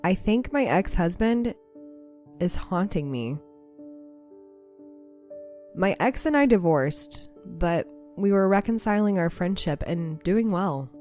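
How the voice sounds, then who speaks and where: A woman's voice: on the low side, mellow. A young woman hosting a podcast, chatting casually and reading aloud, slightly nasal, laughing easily. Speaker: podcast host.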